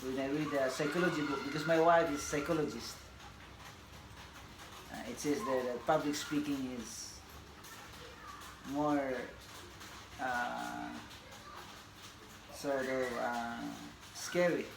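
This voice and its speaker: Filipino guy in his 40s, talks slow with lots of uhs, gravelly voice, echoey noisy room. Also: medium pitch, fairly even intonation. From Philippines. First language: Bisaya. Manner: halting, many fillers, laughs often. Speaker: tennis coach. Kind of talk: casual self-introduction to camera. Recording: echoey room, audible background noise.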